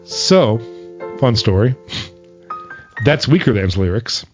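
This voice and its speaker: A man's voice: mid-range voice, sing-song, slow pace. Chatty laughing man, dull lo-fi sound. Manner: chatty, laughs often, loose storytelling. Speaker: podcast co-host and band's keyboard player. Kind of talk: casual chat reacting to old videos. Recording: slightly dull lo-fi sound, faint music behind.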